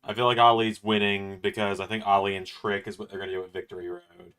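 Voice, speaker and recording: A man's voice: on the low side, little pitch movement, quick pace, fairly bright tone. A man hosting a live reaction stream, chatting casually and thinking aloud with fillers. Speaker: stream host.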